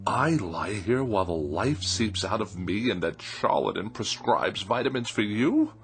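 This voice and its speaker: A man's voice: fairly low pitch, animated, measured pace, soft background music. An actor playing a bitter, self-pitying hypochondriac husband in a radio drama, querulous and theatrical as he accuses and complains. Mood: bitter, indignant.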